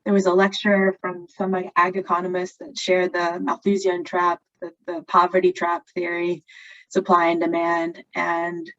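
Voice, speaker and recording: Woman on a lo-fi video call, gravelly. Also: on the low side, completely flat delivery, unhurried, a bit bright. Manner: thoughtful, with many fillers. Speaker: plant breeding panelist. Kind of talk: webinar panel talk.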